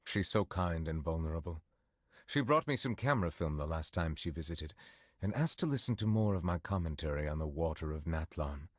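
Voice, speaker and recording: Deep, husky, dignified game judge. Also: male voice, lively intonation, no room sound, studio quality. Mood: protective, earnest. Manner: formal, measured, eloquent. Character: dignified, aloof, melancholy male judge with dragon-like nature. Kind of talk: scripted video game character voice lines.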